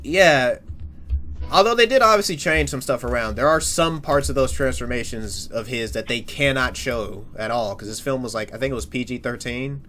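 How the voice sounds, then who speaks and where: A man's voice: mid-range voice, moderate pace, a little hiss or hum, natural voice. A man playing a brash, talkative superhero who swears often and restarts his sentences in an improvised, in-character chat. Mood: casual banter. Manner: excitable, swears a lot, frequent restarts. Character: brash young adult male superhero, talkative.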